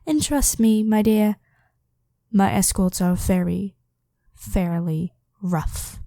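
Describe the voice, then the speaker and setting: A woman's voice: medium pitch, steady tone, slow pace, warm tone, dry recording, clean studio sound. A woman voicing a devoted, protective royal knight, head of the guard, with formal, deferential speech in a scripted ASMR roleplay. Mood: stern, commanding. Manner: formal, deferential address to a monarch.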